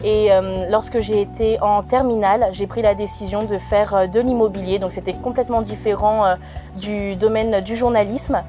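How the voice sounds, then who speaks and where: A young woman in her twenties from France, answering interview questions with many pauses and restarts. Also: medium pitch, steady tone, faint music behind. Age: 20s. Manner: hesitant, many fillers and restarts.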